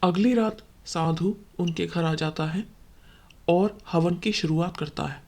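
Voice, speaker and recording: A woman's voice: lowish voice, slow, heavy background noise. A woman working as a storyteller, delivering scripted dramatic narration.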